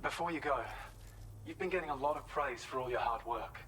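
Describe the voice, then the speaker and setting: An actor playing a calm male facility contact in a video game, speaking in a measured, formal, friendly way. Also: medium pitch, slight background noise, lightly acted, rough recording. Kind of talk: scripted game dialogue.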